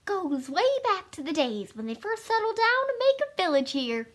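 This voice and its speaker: A woman's voice: extremely high pitch, very animated, normal speed, a bit bright, exaggerated voice. A woman playing a grumbling elderly townsperson who reminisces in a folksy way, in an amateur play acted over video call. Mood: nostalgic. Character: grumbling elderly town old-timer, nostalgic for strict ritual. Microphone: video call microphone.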